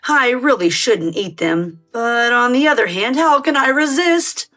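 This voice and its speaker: A woman's voice: a little high, animated, fairly bright tone, soft background music, pitched above the performer's own voice. Woman voicing a sly, theatrical male fox. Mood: scheming, delighted. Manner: theatrical, drawn-out, whiny or gleeful. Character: sly, whimpering male fox dental patient.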